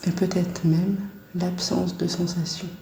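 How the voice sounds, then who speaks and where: Slow, low-voiced woman guiding meditation. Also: creaky, echoey room, a little hiss or hum, rough recording. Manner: calm, soft-spoken, unhurried with long pauses. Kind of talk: guided body scan meditation.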